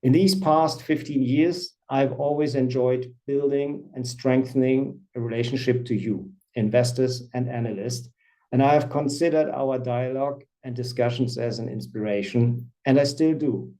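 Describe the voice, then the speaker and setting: A man in his fifties, a company CFO, presenting quarterly results on an earnings call in a measured, formal way. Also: mid-range voice, steady tone, dark tone, low-quality recording. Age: 50s. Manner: measured, formal reading of financial figures.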